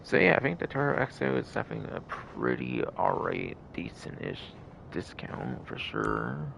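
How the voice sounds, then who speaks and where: Man, heavy vocal fry, very animated intonation, casual livestream commentary, rambling and repetitive, faint background rumble. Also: moderate pace. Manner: rambling, repeats and second-guesses himself. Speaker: game livestream host.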